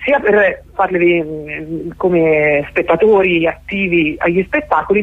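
A woman's voice: on the low side, no echo. A woman speaking as a festival organiser in a radio interview, explaining at length and often searching for words. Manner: hesitant, searching for words, long-winded. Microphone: telephone. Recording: narrowband telephone line on radio.

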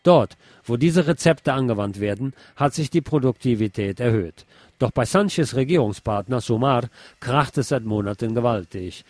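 A man's voice: medium pitch, animated, normal speed, darkish tone, no echo. A man reading scripted news in the role of a radio news presenter.

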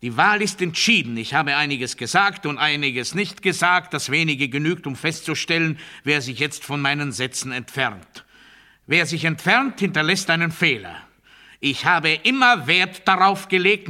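An actor playing a pompous, paranoid new ruler in a radio play monologue, declaiming to his people in a sharp, forceful, theatrical voice that moves between proclamation and confiding. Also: a little high, animated, moderate pace. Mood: stern, self-assured. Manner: declamatory oratory, swinging from loud proclamation to hushed confiding.

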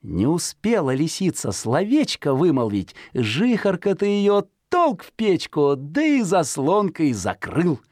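A woman's voice: low voice, big pitch swings, a little slow, hardly any pauses, a little mellow, dry recording, clean studio sound. A woman storyteller narrating a children's fairy tale in a folksy, theatrical, singsong way.

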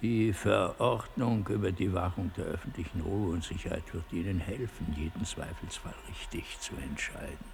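An actor playing an authoritative, legalistic general in a radio drama, formal and measured in manner. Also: male voice, deep voice, vocal fry, a little mellow, a little hiss or hum.

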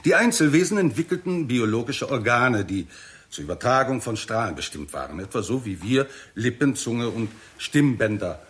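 An actor playing a confident scientist who lectures deliberately and emphatically in a 1960s German radio drama. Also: male voice, medium pitch, very animated, close to an everyday voice. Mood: explanatory, thoughtful. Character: male scientist, confident, explanatory, theorising.

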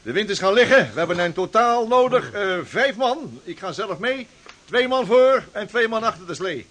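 An actor playing a strict, commanding Dutch ship's skipper in a radio drama, briskly giving orders with authority. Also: male voice, sits high, animated, fairly bright tone.

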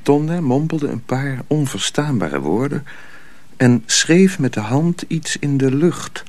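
A man's voice: medium pitch, big pitch swings, takes it slowly, a little mellow. A man narrating a radio drama from a script as a storyteller.

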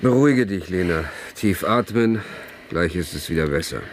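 An actor playing a bitter, sardonic dissident of a dome city in a radio play, with a grumbling, commanding manner. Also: male voice, low-pitched, lively intonation, normal speed, a little hiss or hum. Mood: steadying. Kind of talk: 1970s German radio drama acting.

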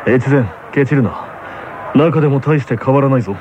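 An actor playing a stern, earnest teenage tennis captain in a radio drama, with a curt, formal deadpan manner. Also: male voice, mid-range voice, normal speed, a little hiss or hum, lightly acted. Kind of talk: scripted anime radio drama acting. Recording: dull lo-fi radio recording with music.

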